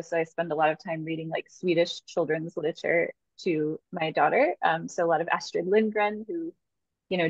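Casual woman with a gravelly, muffled voice. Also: on the low side, no room sound. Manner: casual, with filler words. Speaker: novelist panelist. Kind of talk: casual panel discussion. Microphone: video call. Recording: dull video call audio.

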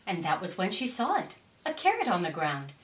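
Animated woman reading a storybook. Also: on the low side. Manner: deliberate, theatrical emphasis. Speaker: storytime reader. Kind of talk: expressive children's storybook reading. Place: indoors, curtained room or small stage. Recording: roomy echo on voice.

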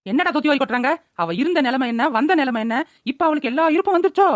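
A woman's voice: high voice, animated, racing, no room sound. A woman with a rural Tamil Nadu accent voicing a nagging but doting older village mother in a cartoon. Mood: scolding. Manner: nagging, colloquial, exclaiming. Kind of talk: Tamil cartoon voice-over acting.

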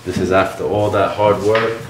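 A man's voice: on the low side, fairly even intonation, moderate pace, background noise. A man in his thirties hosting a casual, hyped walkthrough tour of a factory, speaking in laid-back street slang. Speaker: vlog host touring a wheel factory. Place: large indoor factory floor. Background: background music.

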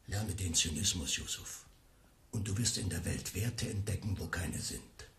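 A man's voice: deep voice, unhurried, gravelly, fairly bright tone, a little hiss or hum, full character voice. An actor in a radio play voicing a cynical, mocking, melancholy man who swings theatrically between murmurs and cries. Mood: cynical persuasion. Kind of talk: radio drama acting.

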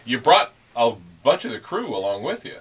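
A man's voice: medium pitch, recorded from a distance, a little hiss or hum. A man hosting a morning radio show, folksy and chatty with his guests. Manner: folksy, fast, lively. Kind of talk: radio interview.

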